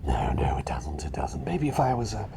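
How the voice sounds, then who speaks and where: A man voicing a fussy, chatty little bird who is really a doctor, rambling and repeating himself politely in a hushed, breathy ASMR roleplay. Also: extremely low pitch, huge pitch swings, normal speed, noisy background, heavily acted. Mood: curious, hesitant. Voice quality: breathy, half-whispered.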